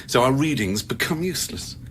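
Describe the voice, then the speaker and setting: An actor playing an evasive, cynical scientist on a remote station in a radio play, cagey and sardonic, with halting, wordy delivery. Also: male voice, mid-range voice, expressive, a bit bright, slight background noise, lightly acted. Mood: brooding, eloquent. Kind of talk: radio drama acting.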